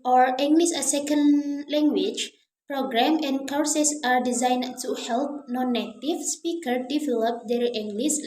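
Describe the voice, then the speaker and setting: Young woman reading class notes into her phone, high voice, faint hiss. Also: dark tone. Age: young adult. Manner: reads aloud from notes, slipping into Indonesian. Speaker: student presenter. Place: small indoor room. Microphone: phone camera at close range. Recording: echoey room, faint noise.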